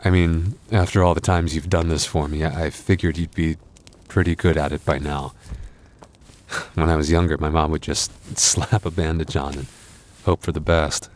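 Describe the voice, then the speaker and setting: A man playing an exhausted, wounded werewolf boyfriend in an ASMR roleplay, tender and reassuring, with halting, sighing, intimate delivery. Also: a bass voice, little pitch movement, a little hiss or hum. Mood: tender, grateful.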